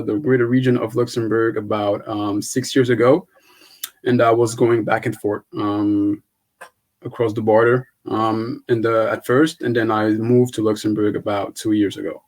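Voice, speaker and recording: A man from Haiti talks as a guest in a relaxed interview. His mid-range voice is soft and hesitant, full of ums and restarts. He gets there steadily. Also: mid-range voice. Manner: soft-spoken, hesitant, many fillers and restarts. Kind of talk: casual video call interview.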